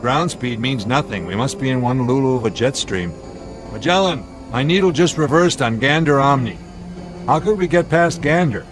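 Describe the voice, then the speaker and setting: Radio play captain male actor: mid-pitched, assured, commanding, clipped delivery, clear music bed. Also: animated, moderate pace, close to an everyday voice. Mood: tense, commanding. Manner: authoritative, clipped orders. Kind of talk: radio drama acting.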